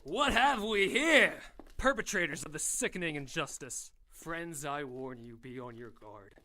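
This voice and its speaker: Pompous, wildly swooping young crusader. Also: male voice, on the high side, lively intonation, unhurried, bright, forceful tone, full character voice. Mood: outraged. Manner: grandiose archaic oratory. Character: pompous, fiery young male crusader rallying his followers. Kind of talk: audio drama acting.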